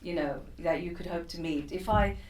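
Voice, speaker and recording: A woman in her fifties, from East Africa, talks off the cuff with lots of ums and restarts. Her voice sits a bit low. It is picked up from a distance in an echoing room with faint noise behind. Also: normal speed, darkish tone. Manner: chatty, many fillers and restarts. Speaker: keynote speaker. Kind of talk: unscripted personal talk. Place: conference room.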